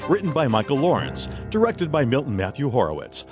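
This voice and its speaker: Lively male radio drama announcer over loud music. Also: mid-range voice, fairly quick. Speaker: announcer for an audio drama troupe. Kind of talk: scripted show introductions over music.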